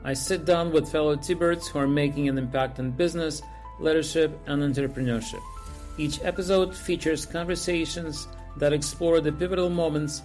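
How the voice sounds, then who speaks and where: A man's voice: on the high side, a little mellow. A man hosting an interview podcast, reading a polished intro and then chatting with his guest, with many fillers and restarts. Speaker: podcast host.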